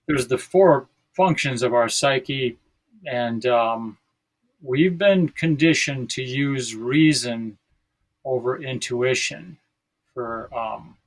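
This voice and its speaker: A man's voice: mid-range voice, rough recording. An American man in his sixties from South Dakota, talking casually and reflectively as a podcast guest, often searching for his words. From South Dakota, United States.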